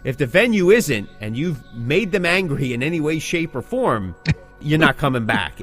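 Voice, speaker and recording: A man's voice: a little high, very animated, moderate pace, a bit bright, faint music behind. A man co-hosting a podcast, talking casually but emphatically, giving advice and repeating key points for stress. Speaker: podcast co-host. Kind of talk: casual talk about the music business, with scripted sign-offs.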